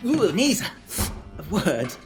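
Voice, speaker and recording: A woman's voice: medium pitch, slow pace, over music. A woman playing an eager, lovestruck young male apprentice in a comic, theatrical radio play manner. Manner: comic, excitable, conspiratorial.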